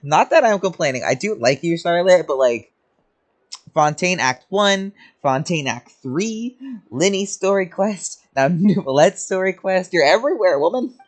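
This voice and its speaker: A woman's voice: on the low side, lively intonation, normal speed. A woman game streamer reacting casually to a game, teasing, amused and sweary. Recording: game music behind.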